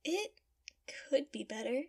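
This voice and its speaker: A dry, teasing young woman in an audio drama. Her voice is fairly high and her delivery very unhurried, understated and tolerant. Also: very animated, gravelly, dark tone, exaggerated voice. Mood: wry, mildly exasperated. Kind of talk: scripted audio drama acting.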